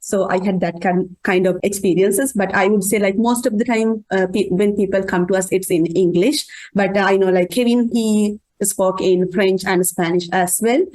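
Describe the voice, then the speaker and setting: A woman's voice: mid-range voice, fairly even intonation, normal speed, hardly any pauses, a little mellow, low-quality recording. Woman. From Sri Lanka. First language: Sinhala. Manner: hesitant, many fillers. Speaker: psychology student volunteer. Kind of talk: interview answers.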